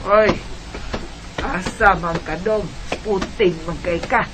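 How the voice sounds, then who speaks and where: A man's voice: high voice, takes it slowly. A man with a Bisaya Filipino accent voicing a strict, protective village aunt with a firm, scolding manner in a radio drama. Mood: watchful. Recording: faint background noise.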